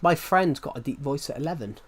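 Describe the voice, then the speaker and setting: A man's voice: a little high, lively intonation, a little fast, slightly rough. A man in his twenties streaming, chatting casually and rambling, saying each phrase twice before moving on. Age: 20s. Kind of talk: rambling casual livestream chat.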